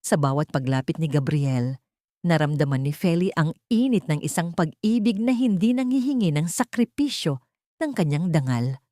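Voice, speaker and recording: A woman with a Filipino accent narrating a scripted dramatic story in a measured, sentimental, literary way. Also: sits low, expressive, dark tone, no room sound, clean studio sound.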